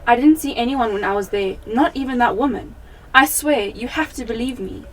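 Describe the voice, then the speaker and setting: Defensive young female suspect, acted by a teenage woman, high but husky, recorded away from the mic. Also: moderate pace, lightly acted. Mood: pleading, defensive. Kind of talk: amateur school radio play acting. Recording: distant from the microphone.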